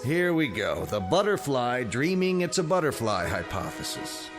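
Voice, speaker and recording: Man playing a skeptical, lively-toned district attorney. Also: mid-range voice, music in the background, natural voice. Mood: skeptical, firm. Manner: measured, argumentative, lawyerly. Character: skeptical male district attorney, rational, stubborn, exasperated. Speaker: actor. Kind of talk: radio drama acting.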